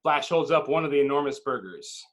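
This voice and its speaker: A man's voice: medium pitch, expressive, a little fast. A man reading stage directions as narrator at a table read, in dramatic, clipped phrases.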